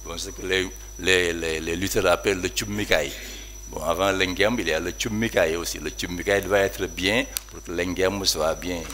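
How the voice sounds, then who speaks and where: Deep-voiced man giving a measured academic talk. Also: fairly even intonation, sharp tone, slight background noise. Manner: measured, reflective, hedging remarks. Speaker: conference speaker.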